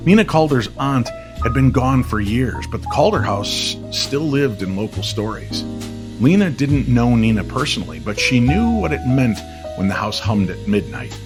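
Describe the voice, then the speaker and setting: A man narrating an eerie scripted story in an old-time radio style, measured and suspenseful. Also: mid-range voice, big pitch swings, music in the background.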